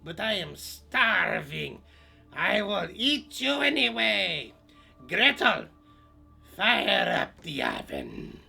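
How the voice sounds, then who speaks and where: A man's voice: high voice, wildly swooping pitch, takes it slowly, creaky, bright, forceful tone, husky, faint music behind, exaggerated voice. A man playing a cackling old witch. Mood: ravenous. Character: cackling greedy old witch disguised as a blind traveler.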